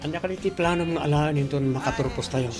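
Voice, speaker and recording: An actor with a Filipino accent playing a teasing, smitten teenage schoolboy in a radio drama. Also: male voice, a little high, fast, music in the background, lightly acted. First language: Ilocano.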